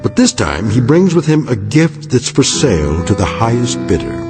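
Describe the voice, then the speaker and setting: A man performing as a solemn, ominous anthology narrator, measured and portentous in dramatic radio narration. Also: medium pitch, very animated, over loud music.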